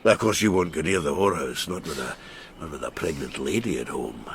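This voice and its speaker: An actor in a radio drama playing a boisterous, teasing farmer who is drunk, slurring and halting as he repeats himself. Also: very deep voice, animated, a little hiss or hum. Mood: jovial, tipsy.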